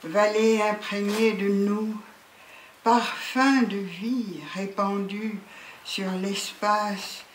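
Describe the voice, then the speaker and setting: A poet reciting solemnly and deliberately at a literary event, pausing between phrases. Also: medium pitch, level tone. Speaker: poet reading at a book event. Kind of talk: slow, solemn poetry recitation. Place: indoor literary event room. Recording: echoey room with background noise.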